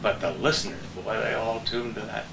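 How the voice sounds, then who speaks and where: A man's voice: on the low side, steady tone, fairly quick, vocal fry, background noise. An older man reminiscing casually in a folksy, agreeable way. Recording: distant mic in echoey room.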